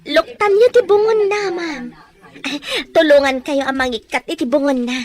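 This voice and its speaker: A woman playing a sweet, humble young mother-to-be, gentle and affectionate, in an Ilocano audio drama. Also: sits high, lively intonation, moderate pace. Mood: warm, shy.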